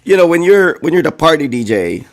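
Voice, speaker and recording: Hoarse man, rambling chat. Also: a little high, sing-song, fairly quick. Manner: rambling, heavy on "like" and "you know". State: hoarse, recovering from a lost voice. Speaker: co-host. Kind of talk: casual chat.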